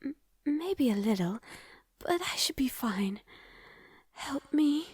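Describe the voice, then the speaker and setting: A woman's voice: high voice, lively intonation, slow pace, a little mellow, no echo. A woman playing a proper, anxious young princess in a romantic ASMR roleplay, speaking in a genteel, hesitant way. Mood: worried, secretive.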